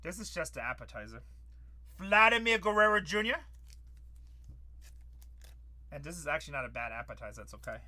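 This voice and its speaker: A man's voice: a little high, sing-song, fast talker, pushed and bright. A man in his forties hosting a live card-break stream, calling out cards and bantering with chat. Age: 40s.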